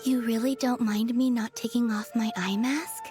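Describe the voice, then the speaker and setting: An actress playing a quiet, gentle, reserved young woman in a video game, speaking softly. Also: fairly high pitch, steady tone, normal speed, close to an everyday voice. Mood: shy, grateful. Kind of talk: soft, slow video game voice acting. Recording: faint music behind.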